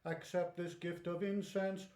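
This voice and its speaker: An actor playing a humble old priest praying solemnly and reverently in a radio drama. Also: male voice, high-pitched, flat delivery, a little slow, darkish tone, roomy sound. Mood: solemn, devout. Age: older.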